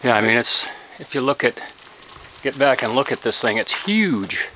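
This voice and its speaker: A man's voice: mid-range voice, animated, normal speed, bright, forceful tone. A middle-aged man outdoors on a desert trail, casually explaining the route with fillers and restarts. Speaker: ham radio hiker. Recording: noisy outdoor camera audio.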